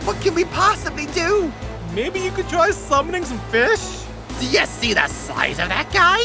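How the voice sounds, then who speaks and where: A woman's voice: extremely high pitch, sing-song, normal speed, vocal fry, exaggerated voice. A woman voicing a young adventurer in a comedic cartoon parody, fretful, sarcastic and wry. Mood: worried, wry. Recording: music behind the voice.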